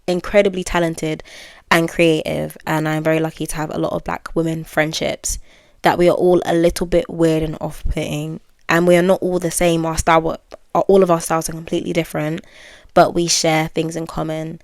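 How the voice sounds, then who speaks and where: A woman's voice: lowish voice, level tone, brisk, vocal fry, no room sound, studio quality. A woman aged twenty, well-spoken, hosting her own podcast and musing candidly, with fillers and self-corrections. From United Kingdom. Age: 20s. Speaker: podcast host. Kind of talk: reflective solo podcast monologue.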